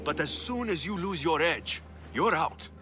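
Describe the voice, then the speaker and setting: A man's voice: on the high side, animated, measured pace, background noise. An actor playing an earnest young male warrior in a video game, urgent and confrontational. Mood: heated. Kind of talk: dramatic video game cutscene acting.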